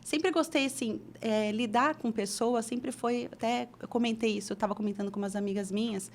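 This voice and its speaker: A woman's voice: fairly high pitch, a little fast, a little hiss or hum. A middle-aged woman from Brazil, an interview guest telling her career story in a chatty way with many restarts. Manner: chatty, frequent restarts and fillers.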